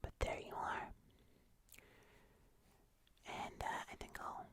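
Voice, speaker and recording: An ASMR creator chatting casually in a soft, breathy whisper, gently rambling while working on a craft. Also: slow pace.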